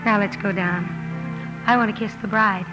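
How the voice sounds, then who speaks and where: A woman's voice: medium pitch, steady tone, normal speed, lightly acted, rough recording. An actress playing a sincere, passionate young woman writer in a 1950s radio drama, earnest and emotional. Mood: calm, resigned. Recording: old radio broadcast with music.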